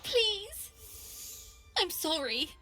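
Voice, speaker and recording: A woman playing a timid little tiefling girl, halting and pleading, in a video game. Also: extremely high pitch, sing-song, sharp tone, soft background music, heavily acted. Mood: terrified, pleading.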